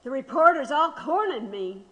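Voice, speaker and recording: An actress plays a condemned man's wife looking back in an audio drama. Her voice sits somewhat high, measured and full of regret and bitterness. A hollow reverb trails her words. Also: sing-song, a bit bright, lo-fi sound. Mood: bitter, reflective. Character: grieving wife of a condemned man, bitter and reflective.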